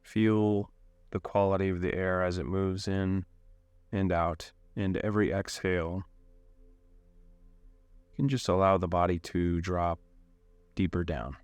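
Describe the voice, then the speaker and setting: A man leading a guided meditation in a calm, unhurried way, giving gentle instructions with long pauses. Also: low-pitched, clean tone, no room sound.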